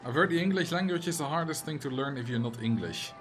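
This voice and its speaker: A man's voice: fairly high pitch, expressive, quick pace, faint music behind. A man with a Dutch accent, a streamer chatting casually and answering chat messages while he plays a game. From Netherlands.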